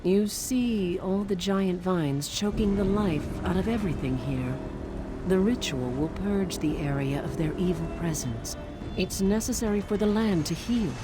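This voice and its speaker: A woman's voice: on the low side, unhurried, over music, close to an everyday voice. An actress playing a wry, earthy forest witch in a video game, with a casual, teasing manner. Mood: resolute. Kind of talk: video game character dialogue.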